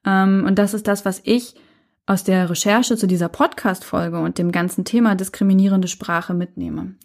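A woman's voice: medium pitch, fairly even intonation, moderate pace, creaky, a little mellow. A woman from Saxony-Anhalt hosting her own podcast, talking alone in an earnest, thoughtful way with frequent hesitations. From Saxony-Anhalt, Germany. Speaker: podcast host. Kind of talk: reflective solo podcast monologue.

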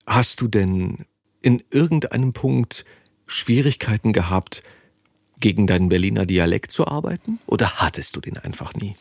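Gravelly, low-voiced male podcast host. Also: lively intonation, normal speed, darkish tone, no room sound, studio quality. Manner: curious, amazed interjections. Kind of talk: conversational interview.

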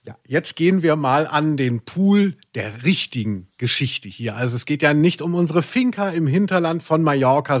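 A man hosting a podcast, chatting casually in a rambling, self-deprecating way. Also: a little high. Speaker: podcast host. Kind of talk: casual conversational podcast chat.

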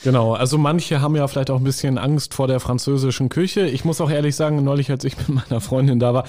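A male host chatting casually, quick with his words and easy about poking fun at himself. His voice sits at an ordinary middle pitch, and he keeps a rapid pace. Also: fairly even intonation, dark tone. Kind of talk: casual radio chat.

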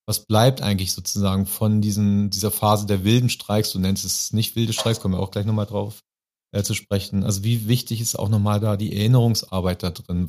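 Male interview host, lowish voice, loosely scripted moderation with wordy, winding phrasing. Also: darkish tone. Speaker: podcast host. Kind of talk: semi-spontaneous interview moderation.

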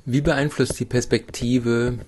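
A man asking interview questions in a calm, measured way as podcast host. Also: medium pitch, slight background noise.

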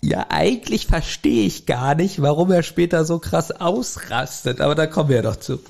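A man's voice: fairly high pitch, animated, normal speed, gravelly, darkish tone. A man co-hosting a podcast, chatting casually about an audio drama and often chuckling as he talks. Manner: chuckles often while talking. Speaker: podcast co-host.

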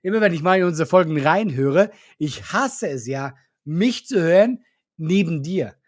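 A man's voice: a little high, expressive, moderate pace. A man co-hosting a podcast in casual chat, hesitant, with an up-and-down way of speaking. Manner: hesitant, restarts, up-and-down intonation. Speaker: podcast co-host. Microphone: studio microphone.

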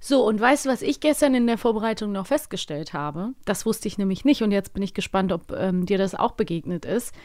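A woman's voice: medium pitch, animated, fairly quick, few pauses, darkish tone, no room sound, studio quality. A woman co-hosting a podcast, chatting conversationally and sharing fun facts and asides. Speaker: podcast co-host. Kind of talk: casual chat about a children's musical.

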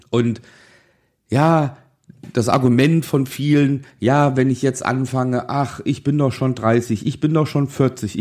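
A man's voice: medium pitch, a little mellow. Insistent man in his forties, motivational talk. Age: 40s. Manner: insistent, exhorting, with rhetorical questions. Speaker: financial advisor and podcast host. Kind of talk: motivational monologue addressed directly to the listener.

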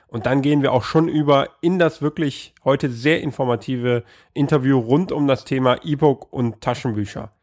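A man's voice: medium pitch, normal speed, hardly any pauses, darkish tone. A man hosting his podcast while he has a cold, welcoming listeners cheerfully and asking questions with a few fillers. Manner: upbeat, welcoming, hesitant with fillers when asking. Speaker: podcast host. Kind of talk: podcast intro and interview.

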